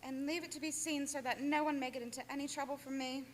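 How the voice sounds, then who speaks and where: A woman playing a frail, frightened young gentlewoman in a radio drama, with faltering, tremulous delivery. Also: high-pitched, steady tone, quick pace, close to an everyday voice. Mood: resigned. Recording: faint background noise.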